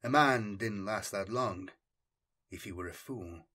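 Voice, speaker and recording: A man narrating a science fiction story in a dramatic, measured and deliberate way. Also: on the low side, pushed and bright. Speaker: audiobook narrator and channel host.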